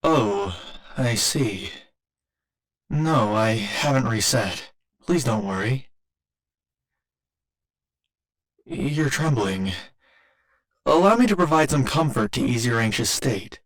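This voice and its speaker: Male actor as earnest robot companion, medium pitch, careful formal wording, courteous, naive, ASMR audio drama. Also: animated, slow pace, long pauses. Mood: concerned, soothing. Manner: formal, precise, careful wording with occasional glitchy stutters. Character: gentle, polite android boyfriend, earnest and naively literal. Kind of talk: ASMR roleplay.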